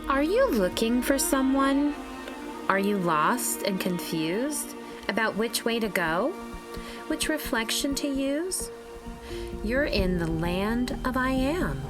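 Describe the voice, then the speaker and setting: A woman narrator reading aloud to young children in a deliberate, expressive storytelling manner. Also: mid-range voice. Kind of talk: expressive read-aloud of rhyming picture book.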